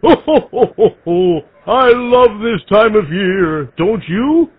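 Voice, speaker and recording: Doting old Father Christmas, jolly, drawn-out hearty delivery, raspy, prank-call phone sound. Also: sits high, expressive, dry recording, exaggerated voice. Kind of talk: pre-recorded prank call acting. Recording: tinny phone line.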